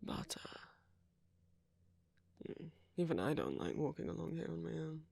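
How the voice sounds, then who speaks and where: A man playing a friendly, flirty vampire in an intimate ASMR roleplay, courteous and gently teasing, with a husky, murmuring voice close to the microphone. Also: a little high, huge pitch swings, moderate pace, no echo, heavily acted. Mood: gentle, teasing. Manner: gently teasing, polite old-fashioned phrasing, soft chuckles. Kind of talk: ASMR roleplay, intimate one-sided dialogue to the listener.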